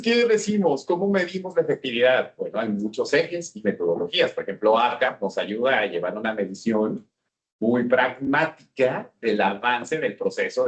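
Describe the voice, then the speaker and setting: A man with a Mexican accent giving a business seminar talk and often asking the audience questions. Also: lowish voice. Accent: Mexican Spanish. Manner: engaging, asks the audience questions. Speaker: speaker.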